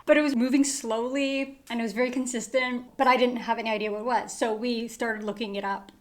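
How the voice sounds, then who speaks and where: Lively woman, higher voice, podcast co-host who laughs through her stories. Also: a little fast. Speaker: podcast book club member. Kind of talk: casual chat and segment hosting.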